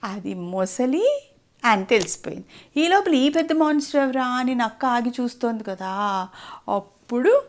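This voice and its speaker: A woman's voice: fairly high pitch, lively intonation, a little slow. A woman telling a story to a child in a simple, explanatory way, often asking questions.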